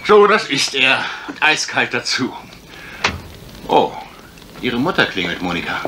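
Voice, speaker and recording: An actor playing a jovial, teasing English gentleman detective in a German radio crime drama, with theatrical expression. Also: medium pitch, huge pitch swings, a little slow, heavily acted. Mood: admiring. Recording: noisy retro radio play recording.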